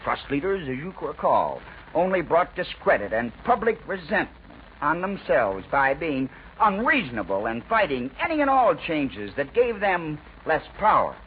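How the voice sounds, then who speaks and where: A man with an American accent delivers a scripted 1940s radio editorial in an oratorical, emphatic way, with deliberate rhetorical pauses. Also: fairly high pitch, sing-song. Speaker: radio news commentator. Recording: dull, noisy 1940s radio recording.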